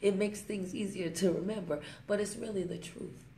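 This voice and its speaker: A woman talks straight to her phone camera in a motivational vlog, pressing each point home and slowing down on the lines she wants to land. Her voice is mellow and dark, with a gravelly fry at the ends of phrases. The room echoes noticeably, with a faint hum of ambience underneath. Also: medium pitch, steady tone. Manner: emphatic, preachy, fond of rhymes, slows down to stress points. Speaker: network marketing vlogger. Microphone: smartphone.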